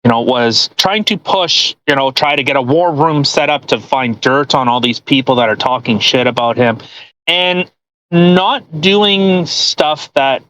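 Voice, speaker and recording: A man's voice: mid-range voice, a little slow, bright, forceful tone, rough recording. A man hosting a podcast in casual, mocking commentary with crude joking. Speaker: podcast host.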